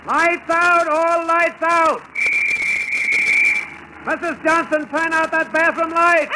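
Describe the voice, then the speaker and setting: A man's voice: extremely high pitch, little pitch movement, slow. An actor playing an ordinary neighborly American air-raid warden and father in a 1940s radio drama. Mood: shouting orders. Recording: noisy old 1940s radio recording.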